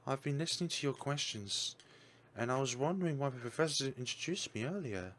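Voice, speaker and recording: A man's voice: medium pitch, animated, a little fast, fairly bright tone, pitched above the performer's own voice, close to an everyday voice. A man voicing a young woman's AI copy. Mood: calm.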